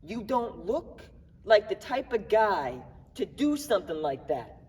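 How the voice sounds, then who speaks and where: A young man performing a dramatic monologue as a defiant, grieving baker, agitated and wry, with halting, streetwise talk. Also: high voice, big pitch swings, darkish tone, slightly rough, full character voice. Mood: defiant, sarcastic. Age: young adult. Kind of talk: dramatic monologue at a speech contest. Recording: echoey hall, recorded from far off.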